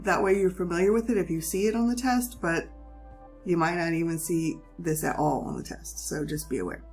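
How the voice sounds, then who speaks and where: A woman teaching chemistry, her voice a bit hoarse from a cold, with a gravelly edge that sits low in her range. She is patient and reassuring as she steps through each idea. Faint music plays behind her. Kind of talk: recorded classroom lesson.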